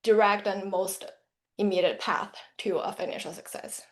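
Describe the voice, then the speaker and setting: A woman's voice: mid-range voice, steady tone, moderate pace. A woman in her thirties from central China, speaking English with a Chinese accent, answering interview questions in an earnest, reflective way. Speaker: financial planner, guest.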